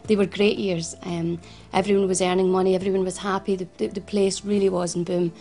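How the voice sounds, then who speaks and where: A woman's voice: medium pitch, level tone, fairly quick, warm tone, faint music behind. A woman in her forties with a Glasgow accent, speaking earnestly in an interview and often restarting her sentences. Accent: Scottish, Glasgow. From Scotland. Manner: earnest, frequent restarts and repetitions. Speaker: founder of a financial advice service. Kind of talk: personal interview testimony.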